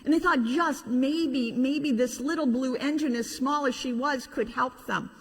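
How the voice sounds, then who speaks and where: A middle-aged woman, a pastor telling a children's story in an animated way with informal asides. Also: sits high, measured pace, breathy edge. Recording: reverberant hall.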